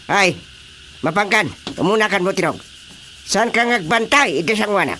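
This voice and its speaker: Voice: medium pitch, lively intonation, normal speed, sharp tone, slight background noise. An actor playing a pompous, easily exasperated king in an Ilocano comedy radio drama, scolding and blustering.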